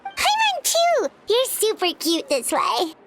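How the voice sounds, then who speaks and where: A woman voicing a tiny, excitable, childlike fairy companion in a video game, squeaky, exclamatory and expressive. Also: takes it slowly, very noisy, exaggerated voice. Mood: delighted. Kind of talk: cartoonish game dialogue. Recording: music playing behind the voice.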